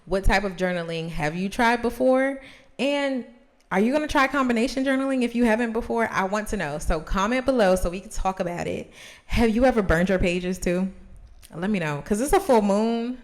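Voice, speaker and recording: Woman around 30 chatting to camera, normal pitch, encouraging, emphatic, casual host vibe. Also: moderate pace. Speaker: host of a journaling video series.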